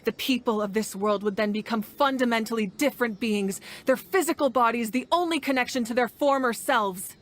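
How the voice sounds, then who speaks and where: A woman's voice: fairly high pitch, fairly quick, hardly any pauses, slight background noise, close to an everyday voice. A woman playing a resolute, principled leader in a video game, earnest and formal in her delivery. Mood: grave.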